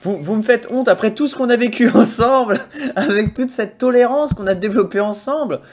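A man's voice: very high voice, brisk, faint music behind. A young man with an accent from the Sarthe, streaming a video game from a small room at home and chatting to viewers on a headset mic, mixing mock-outraged rants with laughter. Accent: Sarthe French. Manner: mock-outraged ranting, laughing often, bursts of loud excitement. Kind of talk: casual livestream chat while playing a video game.

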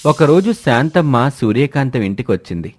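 A man's voice: medium pitch, very animated, moderate pace, faint music behind. A man narrating a scripted family story as a storyteller.

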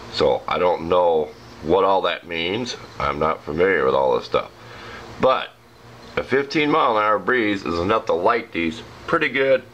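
A man with an American accent and a rough voice, casually explaining a homemade project in a plain, unhurried, folksy way. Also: on the low side, a bit bright. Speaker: hobbyist demonstrating a homemade project. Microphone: handheld camera, speaker behind it. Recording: noisy home video with ambient sound.

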